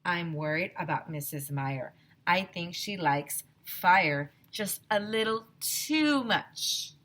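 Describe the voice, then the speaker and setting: A woman's voice: fairly low pitch, animated, takes it slowly, a bit bright. A woman voicing a bossy, prim, scolding know-it-all schoolgirl in a children's storybook. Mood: worried.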